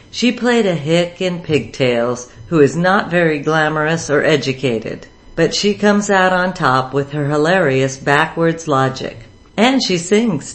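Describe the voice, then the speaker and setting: A woman host reading a scripted introduction for a radio program. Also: sits low.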